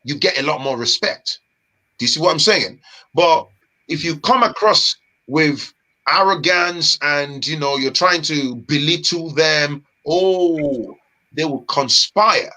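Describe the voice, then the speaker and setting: A man from Nigeria, a guest in a casual chat, talking in an animated, emphatic way with street slang. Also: on the high side, takes it slowly, poor audio.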